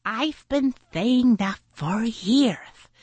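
A whiny, boastful little storybook animal. It complains in a raised, stretched-out voice that wobbles up and down, forever wanting credit. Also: female voice, a little high, expressive, drawn-out words, darkish tone, husky, no room sound, pitched above the performer's own voice, heavily acted. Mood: aggrieved. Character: whiny, boastful small animal craving attention.

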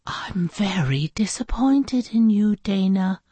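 A woman's voice: medium pitch, animated, dark tone, husky, no echo, pitched above the performer's own voice. A woman voicing an exasperated, scolding woman schoolteacher in a children's story, with dramatic, emphatic delivery. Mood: disappointed. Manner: dramatic, emphatic, drawn-out stressed words.

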